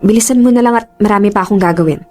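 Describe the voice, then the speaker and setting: A woman's voice: medium pitch, very fast, warm tone, faint music behind, lightly acted. A woman with a Filipino accent acting a torn, defensive young office worker in a radio drama. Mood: flustered. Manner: defensive, emotional.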